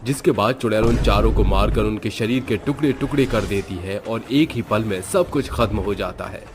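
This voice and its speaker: A man's voice: lowish voice, moderate pace. A man narrating a scripted horror story as its storyteller. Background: faint background music.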